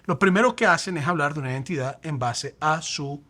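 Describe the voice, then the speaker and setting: A man, a pastor, explaining faith and identity in a lively conversational way, acting out quoted examples. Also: on the high side, brisk.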